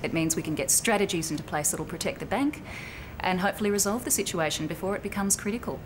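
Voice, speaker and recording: Over clear background noise, an actress plays a composed female bank officer in a training drama. Her voice sits a little low, and she explains things in a measured way, firm yet sympathetic, pausing as she goes. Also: lightly acted. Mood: businesslike, reassuring. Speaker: actor in a corporate training drama. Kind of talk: naturalistic acted workplace dialogue. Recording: clearly noisy location sound with room ambience.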